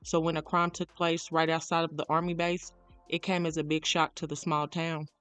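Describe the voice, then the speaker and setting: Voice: medium pitch, flat delivery, normal speed, fairly bright tone, clean tone, no echo, soft background music. A narrator reading a scripted true crime documentary account.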